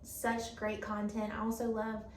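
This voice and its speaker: A woman's voice: medium pitch, completely flat delivery, a little slow, crowd noise. A woman in her thirties from North Carolina, chatting enthusiastically as host. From Fayetteville, North Carolina. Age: 30s. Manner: enthusiastic, effusive. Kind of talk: casual chat. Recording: echoey room, mic at a distance, faint noise.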